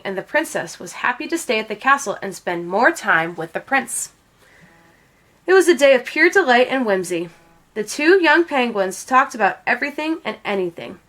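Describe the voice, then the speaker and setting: A woman reading a children's storybook aloud as an expressive narrator. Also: a little high. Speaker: storybook reader.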